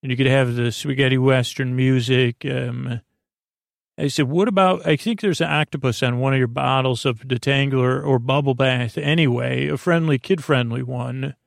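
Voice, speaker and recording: Creaky-voiced man rambling through a bedtime podcast. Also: medium pitch, fairly even intonation, no room sound, clean studio sound. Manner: rambling, halting, drifting into tangents with many false starts. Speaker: podcast host. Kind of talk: meandering improvised bedtime-story monologue.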